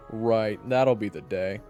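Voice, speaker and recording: A man's voice: lowish voice, slow, dark tone, in a large hall, faint music behind. A man playing a wry, sarcastic young adventurer who also narrates a fantasy audio roleplay. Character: young male adventurer hero, wry, irritable trainee and narrator.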